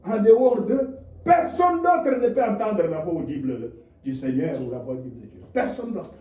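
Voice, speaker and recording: A high, very animated male voice, preaching with fire and swinging between hushed lines and shouted rhetorical questions. His tone is warm and mellow. The recording is dull and lo-fi, picked up from a distance in an echoey church hall. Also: normal speed. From DR Congo. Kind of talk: sermon.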